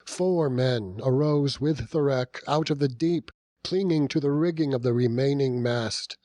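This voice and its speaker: Guy reading an old novel for an audiobook, slow and dramatic, pauses a lot, really dry close sound. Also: medium pitch, mellow, no echo. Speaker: audiobook narrator. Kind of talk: scripted literary narration.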